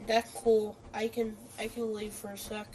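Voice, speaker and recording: A woman's voice: medium pitch, little pitch movement, normal speed, noisy background. Hesitant, rambling woman in casual chat. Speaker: friend chatting with the player over voice chat. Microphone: voice chat call.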